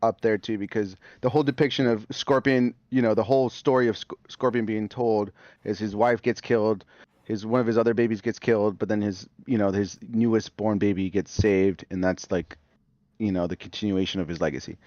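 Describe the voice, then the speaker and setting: A man's voice: on the low side, steady tone, brisk, darkish tone, no room sound, lo-fi sound. A man chatting casually as a podcast guest, rambling with lots of fillers and restarts.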